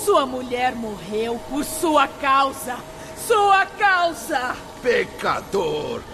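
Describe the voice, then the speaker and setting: An actor voicing a vengeful tormentor spirit in a radio drama, with drawn-out accusing shouts. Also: high voice, sing-song, music in the background, exaggerated voice.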